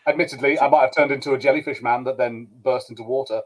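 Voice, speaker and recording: A man's voice: medium pitch, steady tone, fast talker. A man on a podcast panel giving emphatic opinions in casual talk, often acting out quotes in mock dialogue. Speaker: podcast panelist discussing a show. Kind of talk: casual opinionated group discussion.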